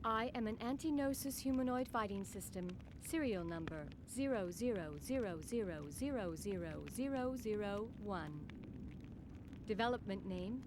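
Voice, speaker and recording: A woman voicing a calm, formal female android combat system in a game cutscene, speaking in a flat, precise way. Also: mid-range voice, noisy background, lightly acted. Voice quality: flat, precise, emotionless delivery. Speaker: game character. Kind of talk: dubbed game cutscene acting.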